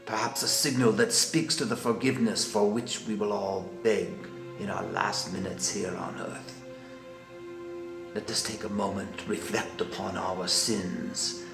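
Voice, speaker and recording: An actor playing the composed, menacing leader of a secret murderous society, speaking in formal, measured oratory in a film drama. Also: male voice, lowish voice, creaky, reverberant. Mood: solemn, menacing.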